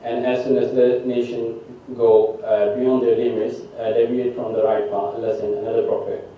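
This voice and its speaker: A man's voice: mid-range voice, little pitch movement, moderate pace, a little hiss or hum. A man from Pakistan asking a long question from the audience, hesitant with many fillers. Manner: hesitant, many uhs, persistent. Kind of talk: question from the floor. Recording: distant, echoey and dull, room mic.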